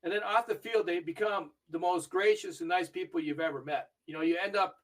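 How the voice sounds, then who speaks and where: An older man with a fairly high voice talks in a chatty, storytelling way as a podcast guest. He grew up in Argentina and perks up when recalling famous matches. He sits a little back from the microphone, so he sounds slightly distant. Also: sing-song, brisk, fairly bright tone. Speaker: interview guest, video review manager. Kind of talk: casual podcast interview.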